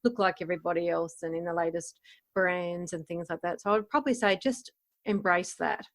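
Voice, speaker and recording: A woman's voice: lowish voice, a little fast. A woman with an Australian accent talking casually and encouragingly, in plain words, as a podcast host in an interview. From Gold Coast, Queensland, Australia.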